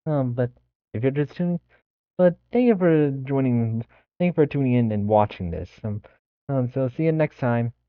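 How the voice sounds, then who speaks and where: A man chats casually as a game livestreamer. He speaks haltingly at an average pitch, and the mic sounds dull and lo-fi. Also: expressive, clean tone, no echo. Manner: hesitant, halting. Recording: dull, lo-fi microphone.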